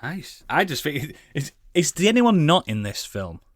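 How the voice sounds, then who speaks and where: A man's voice: fairly high pitch, big pitch swings, moderate pace, dry recording, studio quality. A man hosting a casual podcast, bantering in a crude, teasing, joking way. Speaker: podcast host.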